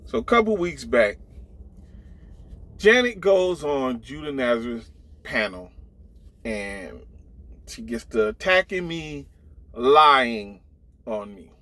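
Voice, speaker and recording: A man's voice: mid-range voice, sing-song, slow, pauses often, pushed and bright. A man giving mocking online commentary, drawing out accusations and teasing rhetorical questions. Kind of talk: mocking commentary, addressing the camera.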